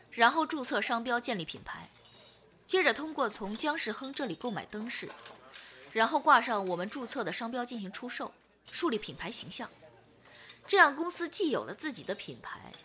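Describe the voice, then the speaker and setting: A woman's voice: on the high side, very animated, moderate pace, bright, forceful tone, a little hiss or hum. A woman acting a strong-willed businessman in his early thirties in a Chinese radio drama. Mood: calm, scheming.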